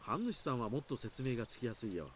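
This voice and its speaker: A man's voice: mid-range voice, very animated, racing, slight background noise, close to an everyday voice. An actor playing an easygoing man in a visual novel, explaining things in a reassuring, long-winded way. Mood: calm, dismissive. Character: easygoing adult man calmly explaining away village curse rumours. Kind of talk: Japanese visual novel voice acting.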